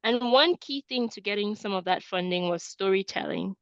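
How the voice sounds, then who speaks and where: A woman's voice: medium pitch, moderate pace, no room sound, lo-fi sound. A woman in her thirties from Cameroon, presenting a webinar as an admissions coach and often adding "right?" to her points. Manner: peppers points with tag question "right?".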